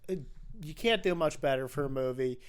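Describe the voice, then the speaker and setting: A man's voice: medium pitch, a little fast, clean tone. A man hosting a podcast, chatting casually and enthusiastically about films. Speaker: podcast host. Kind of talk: casual film discussion.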